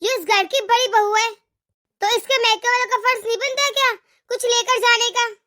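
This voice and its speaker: A woman voicing a domineering, sharp-tongued older mother-in-law in an animated family drama, speaking in emphatic rhetorical questions. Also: very high voice, moderate pace. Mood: angry, scolding.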